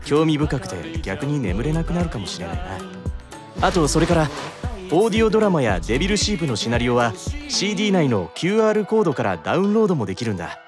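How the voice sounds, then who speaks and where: An actor playing a composed, bookish demon brother in a scripted promotional message, speaking intimately to the listener. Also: male voice, on the high side, very animated, fast talker. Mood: composed, friendly. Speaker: character promoting a song CD. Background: music.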